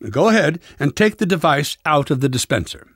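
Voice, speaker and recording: A man's voice: on the high side, animated, fairly quick, slightly rough, dry recording, clean studio sound. A man playing a pompous, irritable older scientist in a video game, speaking with sarcastic, impatient, fussy enunciation. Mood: instructing. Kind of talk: video game character voice acting.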